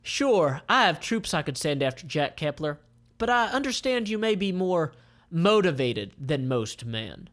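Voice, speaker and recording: A man's voice: fairly high pitch, sing-song, measured pace, a bit bright, voice pushed up. A man voicing a scheming commander in a comic, speaking in an emphatic, theatrical way. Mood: businesslike scheming. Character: scheming commander plotting to capture fleeing royals.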